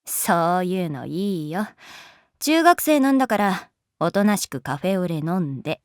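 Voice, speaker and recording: Brisk, expressive female schoolteacher. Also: lowish voice, clear, resonant tone, no room sound, clean studio sound. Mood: teasing, casual. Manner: shifts between polite firmness and casual bluntness. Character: female schoolteacher, brisk, teasing, coolly pragmatic. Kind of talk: Japanese audio drama acting.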